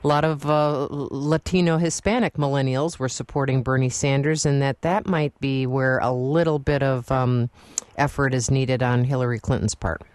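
A man hosting a radio call-in show, with a hesitant, halting way of putting questions, close on a studio microphone. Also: medium pitch, fairly even intonation, smooth, no room sound.

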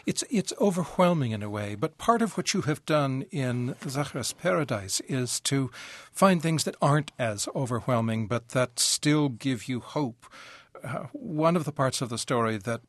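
A man hosting an interview who asks thoughtful questions, halting and repeating words. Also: medium pitch, expressive, no echo. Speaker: interviewer, host.

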